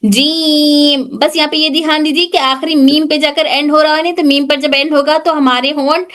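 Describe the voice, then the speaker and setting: A woman's voice: high voice, level tone, moderate pace, a bit bright. A woman teaching Tajweed pronunciation in an online class, patiently repeating points and checking that students follow.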